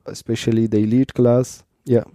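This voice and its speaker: A man's voice: mid-range voice, level tone, moderate pace, mellow, dry recording, studio quality. A man from Pakistan in his thirties, a native Pashto speaker, answering interview questions in English at length, explaining patiently with frequent fillers and restarts. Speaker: interview guest, doctoral student.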